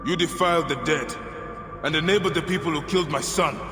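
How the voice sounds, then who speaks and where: Stern warrior played by an actor, loud music behind. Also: male voice, fairly high pitch, fairly quick, natural voice. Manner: solemn, intense, declamatory. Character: stern, grieving warrior father seeking justice. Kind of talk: dramatic video game acting. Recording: long reverb under loud music.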